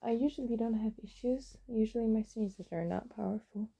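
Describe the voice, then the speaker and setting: Woman, sniffly vlogger, very husky and gravelly, breathy asides into a phone. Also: fairly high pitch, fairly quick, mellow. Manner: breathy, sighing, muttering between sneezes. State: sniffly, stuffed-up nose. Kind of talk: casual self-recorded vlog.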